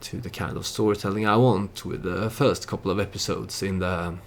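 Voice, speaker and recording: A man giving casual storytelling commentary over a strategy game, hesitant and halting as he thinks aloud. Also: sits low, fairly even intonation, vocal fry. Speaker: let's play YouTuber narrating his strategy game.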